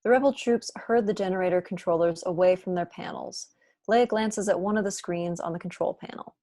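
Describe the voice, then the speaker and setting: A woman's voice: fairly low pitch, fairly quick, mellow, no echo. A young woman reading screenplay stage directions as scripted narration on a video call from home. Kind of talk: scripted narration at a table read.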